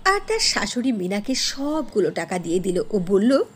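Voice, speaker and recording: A woman's voice: mid-range voice, big pitch swings, normal speed, husky. A woman narrating a scripted cartoon story as the storyteller.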